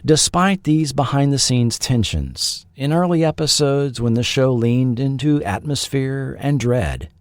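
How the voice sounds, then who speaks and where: A man hosting a podcast, reading scripted documentary narration with measured, dramatic phrasing. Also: mid-range voice, dry recording, clean studio sound. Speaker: podcast host. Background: faint background music.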